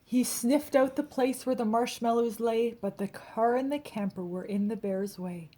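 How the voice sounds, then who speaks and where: A woman reading a rhyming children's picture book aloud, expressively, with dramatic emphasis and drawn-out key words. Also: on the high side, mellow. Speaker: storybook reader.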